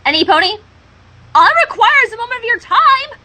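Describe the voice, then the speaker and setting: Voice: extremely high pitch, animated, fast talker, sharp tone, slight background noise, voice pushed up, full character voice. A fan-fiction reader voicing a boastful, demanding female pony magician who talks grandly of herself in the third person. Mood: proclaiming.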